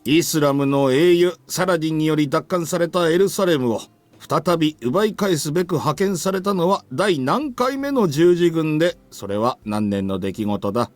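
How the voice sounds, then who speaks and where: A man acts out a stern male teacher from a visual novel, scolding and easily exasperated. His voice runs a little high and swings widely as he rattles through his words with authority. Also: expressive, fast talker, dry recording, natural voice. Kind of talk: Japanese visual novel voice acting.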